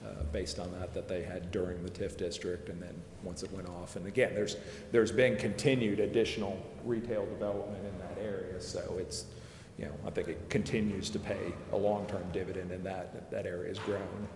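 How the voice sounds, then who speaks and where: A man advising a city committee, explaining figures in a measured way and pausing to recall details. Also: low voice, level tone, darkish tone. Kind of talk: explaining figures at a public meeting. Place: city council chamber. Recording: echoey chamber, long reverb, faint hiss.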